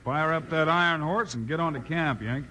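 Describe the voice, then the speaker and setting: Western lawman hero, fairly high voice, commanding, clipped, old radio drama, noisy recording. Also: moderate pace, bright, forceful tone. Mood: calm. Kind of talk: 1950s radio western acting.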